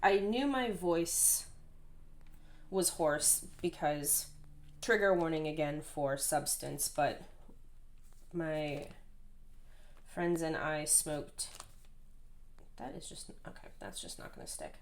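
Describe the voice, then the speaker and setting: Hoarse lady in her 30s yapping away at home, low voice, rambly and self-deprecating. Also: expressive, slow, long pauses. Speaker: planner vlogger. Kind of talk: casual rambling chat while planning. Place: at home, indoors.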